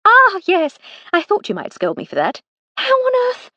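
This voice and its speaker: A woman's voice: very high-pitched, huge pitch swings, normal speed, no echo, highs cut off, pitched above the performer's own voice, exaggerated voice. Woman voicing an arch, teasing posh lady. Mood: airy. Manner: arch, drawling and playfully mocking. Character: posh, teasing upper-class English lady.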